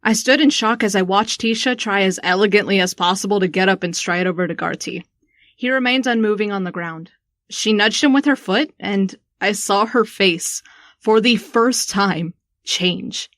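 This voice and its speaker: A woman playing a shaken, sharp-tongued young woman in a first-person audio drama. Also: medium pitch, moderate pace, no echo, studio quality, close to an everyday voice. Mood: tense narration.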